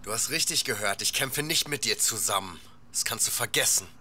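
A man's voice: mid-range voice, animated, brisk, sharp tone, a little hiss or hum. A man playing a laid-back, hesitant but stubborn artist in an audio drama, speaking casually. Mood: defiant.

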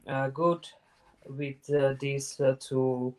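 Slow, halting man demonstrating crafts. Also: mid-range voice, level tone, darkish tone. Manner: hesitant, many fillers, halting non-native phrasing. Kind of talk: live craft stream chat. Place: craft table indoors.

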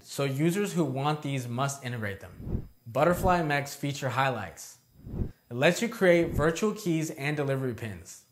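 A young adult man presenting a product overview in a scripted narration, reading out short headings in a structured, informative way. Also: mid-range voice. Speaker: presenter of product review videos. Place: indoor studio with plain backdrop.

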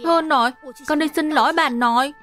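A woman's voice: high-pitched, fast, a bit bright, faint music behind. A woman voicing a sweet, earnest little boy in a dubbed cartoon, polite and pleading. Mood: apologetic.

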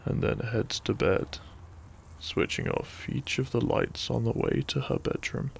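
Gravelly, hushed man telling a bedtime story. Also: mid-range voice, slight background noise. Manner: soothing, hushed, lingering pauses between short phrases. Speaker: narrator of sleep-aid audio. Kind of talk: soft, gentle bedtime story narration, ASMR-like.

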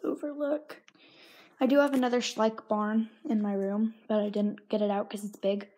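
A woman's voice: mid-range voice, flat delivery, moderate pace, a little mellow. A young girl chatting casually as she films a tour of her toy horse barn at home, sometimes dropping to a whisper. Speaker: vlogger. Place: home room, indoors. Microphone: phone held in hand while filming.

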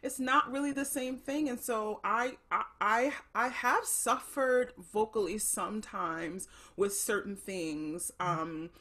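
A woman's voice: a little high, slow, hardly any pauses, bright, forceful tone. A middle-aged woman, an opera soprano, chatting on a video call from her living room, thoughtful and full of restarts. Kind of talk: casual chat on a video call.

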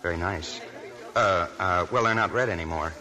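An actor playing a young army lieutenant, gentle and hesitant, in 1940s radio drama style. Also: male voice, sits low, moderate pace, a faint crowd. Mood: cheerful. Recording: old radio broadcast with music.

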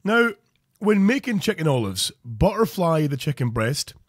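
A man's voice: a little high, normal speed, slightly rough, dry recording, clean studio sound. A Scottish man hosting a crude comic cooking show, narrating recipes with jokes. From Scotland. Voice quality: crude jokey, animated delivery. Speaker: comedy cooking show host.

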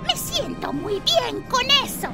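A woman's voice: very high-pitched, big pitch swings, slow pace, exaggerated voice. A woman voicing an old scheming witch with a sly drawl, over background music. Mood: curt, scheming. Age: older.

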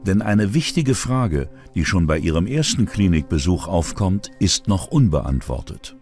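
A man narrates a true-crime documentary in a low voice. His delivery is dramatic and suspenseful, with a lively swing in pitch, over faint music. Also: unhurried.

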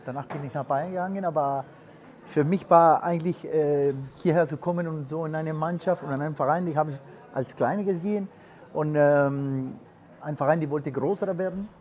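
A man's voice: a little high, moderate pace, darkish tone. Peruvian-born man, hesitant German. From Peru. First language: Spanish. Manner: hesitant non-native German with many fillers. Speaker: interview guest, retired footballer. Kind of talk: casual interview answers.

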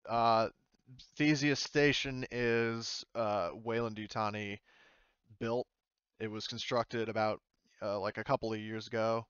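A man's voice: fairly low pitch, fairly even intonation, measured pace, a bit bright, clean tone, no echo, dull audio. A man working as game master, narrating a roleplaying session casually and often searching for words. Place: voice chat in an online game session.

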